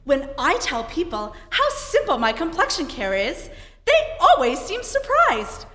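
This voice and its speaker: A woman playing a slow-talking film star. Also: high voice, wildly swooping pitch, a bit bright, full character voice. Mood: poised. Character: poised film star giving soap testimonial. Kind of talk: old-time radio advert acting. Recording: echoey stage hall.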